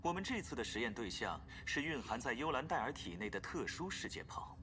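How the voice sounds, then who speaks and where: Man acting a theatrical, expressive scheming scientist. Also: medium pitch, fairly quick, crowd noise, lightly acted. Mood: self-assured, formal. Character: tall, scheming, urbane male leader and scientist. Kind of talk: video game voice acting. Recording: loud music behind the voice. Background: game music.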